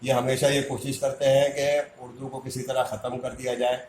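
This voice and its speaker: A man speaks out as a community activist, indignant and argumentative, pressing his case with one rhetorical question after another. His voice sits at an ordinary middle pitch and rings off the walls of an echoey room. A faint ambient hum runs beneath him. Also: flat delivery, moderate pace, darkish tone. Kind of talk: impassioned public statement.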